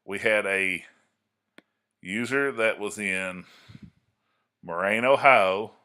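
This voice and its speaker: A man's voice: on the low side, slow, bright, forceful tone. A man giving an informal hobbyist tutorial in a folksy, explanatory way, often checking in with the listener. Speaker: amateur radio hobbyist presenting a tutorial. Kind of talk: informal screen-recorded tutorial.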